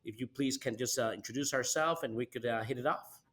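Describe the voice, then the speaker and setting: A man with a Costa Rican accent, a native Spanish speaker, hosting a conversational podcast interview in a halting way, often repeating words and restarting. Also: medium pitch, a bit bright. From Costa Rica. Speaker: podcast host.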